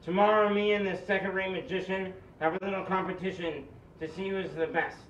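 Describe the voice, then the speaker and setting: A man's voice: high-pitched, fairly even intonation, fairly quick, fairly bright tone, a little hiss or hum. A man playing an arrogant rival magician, theatrical and challenging, in a table read. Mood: haughty challenge. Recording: distant echoey muffled camera audio.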